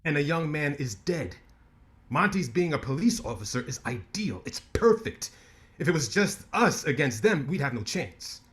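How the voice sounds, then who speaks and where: An actor playing a brash, ambitious lawyer who talks with cocky sarcasm in a staged play reading. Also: male voice, a little high, very animated, normal speed, fairly bright tone.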